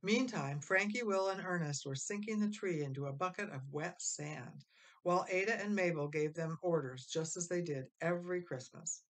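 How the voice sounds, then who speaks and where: A woman reading a children's story aloud as expressive scripted narration. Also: on the low side, moderate pace. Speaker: storybook reader.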